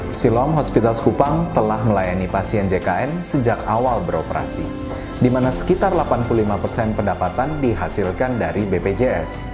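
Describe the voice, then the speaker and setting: A man from Indonesia, a doctor and hospital director, delivering a formal scripted corporate presentation. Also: medium pitch. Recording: echoey room with music behind. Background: background music.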